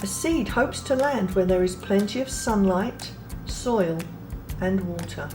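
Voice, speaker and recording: Slow, gentle woman reading a picture book. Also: mid-range voice, lively intonation, low-quality recording. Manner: gentle, unhurried reading with soft pauses. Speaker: children's book reader. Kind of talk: read-aloud storybook narration. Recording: read over clear background music.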